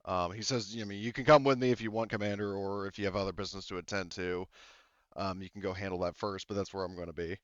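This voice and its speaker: A man's voice: deep voice, fairly even intonation, quick pace, fairly bright tone, no echo, muffled recording. A man running a tabletop game as game master, talking in a loose, casual way, swearing freely and voicing side characters. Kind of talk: game master narration and rules talk.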